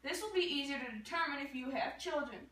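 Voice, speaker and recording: A woman's voice: high voice, fairly quick, fairly bright tone. A young woman giving a scripted, explanatory educational presentation in the manner of a show host. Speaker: puppet show performer playing a TV host. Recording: echoey room, distant mic.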